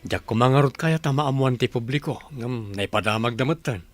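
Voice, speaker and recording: A Filipino man acting in a radio drama as a gruff but deferential man, his voice gravelly and husky. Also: medium pitch, brisk, dry recording, soft background music, natural voice. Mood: measured, grateful. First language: Ilocano.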